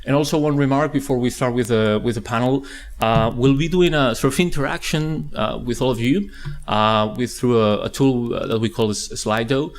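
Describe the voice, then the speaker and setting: A man's voice: mid-range voice, moderate pace. A man presenting a conference panel, introducing the speakers with frequent hesitations and fillers. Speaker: event presenter. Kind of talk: event introduction.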